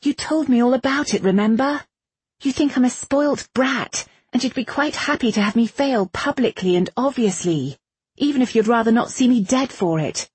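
A wounded, stubborn young woman in an audiobook novel, acted by a woman narrator. Her voice is very breathy and husky, raised in pitch and recorded dry. She is frank, weary and insistent, with a sardonic edge. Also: lively intonation, moderate pace, darkish tone, no echo. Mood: blunt, insistent.

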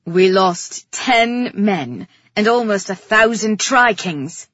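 Woman acting a low, steely male soldier. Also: fairly even intonation, breathy edge, no echo, lo-fi sound. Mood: grim. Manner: low, steely, slow and measured. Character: steely, restrained soldier, male, king's defiant brother. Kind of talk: audiobook character voice.

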